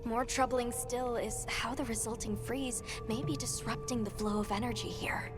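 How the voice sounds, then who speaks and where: An earnest young woman from a video game, voiced by an actress. Her voice is fairly high, with precise, formal diction. Music plays clearly behind her. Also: fairly even intonation, normal speed, close to an everyday voice. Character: earnest, studious young female field assistant. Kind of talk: video game character acting.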